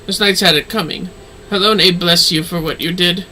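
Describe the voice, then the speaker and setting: A man voicing an earnest young fantasy maiden from a game, formal and quietly defiant. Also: sits high, fairly even intonation, moderate pace, distant mic, slight background noise, pitched above the performer's own voice, low-quality recording. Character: timid, earnest young fantasy maiden defending her grandmother.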